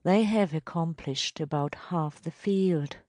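A woman voicing a reluctant, deferential male village elder in a storybook reading, reporting in a measured, hesitant way. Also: sits low, warm tone, dry recording.

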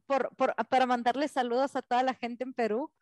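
A woman's voice: high voice, level tone, moderate pace, a little mellow. A woman hosting a podcast in casual chat, effusive and appreciative with her guest. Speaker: podcast host.

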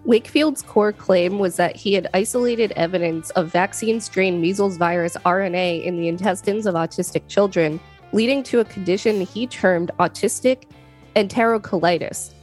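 A woman's voice: medium pitch, normal speed, darkish tone, soft background music. A woman co-hosting a podcast, reading an encyclopedia article aloud and commenting on it. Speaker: podcast co-host.

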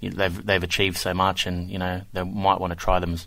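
Deep monotone dude hosting a sports podcast, laid back, lots of you know. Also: male voice, normal speed, vocal fry, no echo. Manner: easygoing, filler-heavy questions. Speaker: podcast host. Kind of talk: casual podcast interview.

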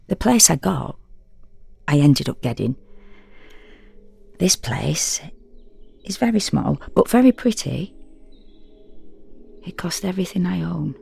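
Wry solitary woman confessing stuff, deadpan audio drama monologue, raspy voice, super dry sound. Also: on the low side, no echo, faint music behind, heavily acted. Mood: quietly content. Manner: intimate, deadpan, halting in short phrases. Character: frank, wry, solitary woman confiding her private habits. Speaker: actor performing a solo audio drama. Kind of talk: first-person dramatic monologue, confessional and conversational.

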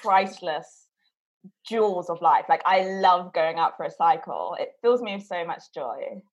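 A woman's voice: on the low side, animated, measured pace, lo-fi sound. A woman hosting a podcast, introducing her guests with effusive enthusiasm. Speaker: podcast host. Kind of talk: casual podcast chat.

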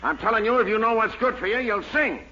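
A man's voice: sits high, quick pace, background noise, lightly acted. A man playing a barking tough police captain. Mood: bullying. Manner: barking, bullying. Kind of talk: 1940s radio comedy acting. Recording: dull old radio recording with loud audience.

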